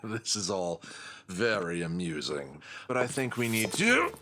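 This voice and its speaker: A man playing a dry, haughty rival villain with a formal, deadpan manner in an audio drama. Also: lowish voice, a little slow, full character voice. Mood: amused. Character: dry, haughty male rival antagonist, adult, menacing.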